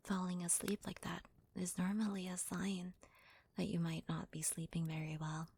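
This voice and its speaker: A woman playing a devoted, deferential servant in an ASMR roleplay, with a soft, breathy, half-whispered voice. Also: on the low side, little pitch movement, moderate pace. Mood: concerned, soothing. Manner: gentle, deferential, hesitant. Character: devoted, doting young female servant to her master.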